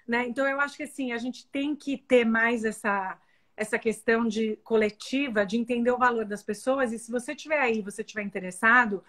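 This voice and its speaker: A Brazilian woman with a fairly high voice speaks in a relaxed, encouraging way and pokes fun at herself. She joins by video call, with a casual, conversational delivery. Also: fairly quick. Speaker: guest, professor.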